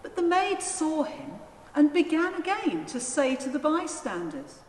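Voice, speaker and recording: Slow, expressive woman narrator in echo. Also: high-pitched. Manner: solemn, deliberate. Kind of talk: dramatised scripture narration. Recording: echoey church, long reverb, faint noise.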